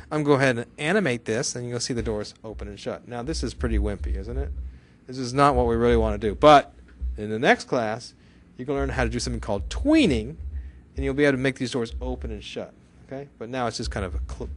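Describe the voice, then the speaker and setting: Fast-talking, chatty male software instructor. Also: medium pitch. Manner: chatty, exclaims and checks in with rhetorical questions. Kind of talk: casual screencast software tutorial.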